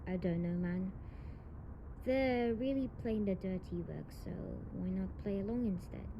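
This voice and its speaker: Casting: actress as tough young delinquent woman with a soft side, audio roleplay, fry-laden voice, noticeable noise behind. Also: medium pitch, unhurried, highs cut off, close to an everyday voice. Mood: cold, vengeful. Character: tough tsundere delinquent young woman, tender lover, vengeful sister. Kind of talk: intimate romantic audio roleplay acting. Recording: noisy home recording, audible background noise.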